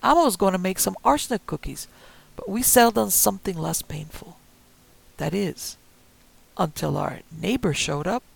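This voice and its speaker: A man voicing a greedy, menacing older man who holds a shotgun, with a gruff, threatening drawl. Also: high voice, moderate pace, heavily acted. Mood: cold.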